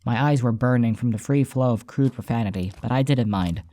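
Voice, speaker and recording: A man's voice: medium pitch, brisk, warm tone. A man reading a scripted first-person story as an audiobook narrator, with soundtrack music behind him.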